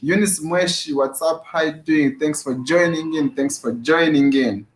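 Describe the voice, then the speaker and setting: A man's voice: a little high, unhurried. A man hosting a casual fitness live stream, greeting viewers by name and repeating his phrases with energy. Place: his room at home after work. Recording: echoey room.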